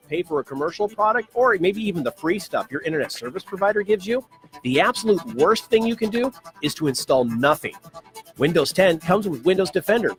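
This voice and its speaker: Animated male radio ad announcer over music. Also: fairly high pitch. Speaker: commercial announcer. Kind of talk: scripted radio ad narration. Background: music bed.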